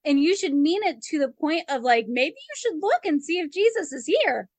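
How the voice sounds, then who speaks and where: Woman w/ higher voice, dry close mic, chatty, says like a lot. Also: very animated, normal speed, darkish tone, no echo. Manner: chatty, many "like" fillers. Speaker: co-host. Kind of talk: casual theological discussion.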